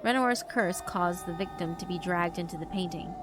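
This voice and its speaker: Low-pitched woman narrating horror over music. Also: moderate pace. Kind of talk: scripted horror visual novel narration. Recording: music behind the voice. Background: background music.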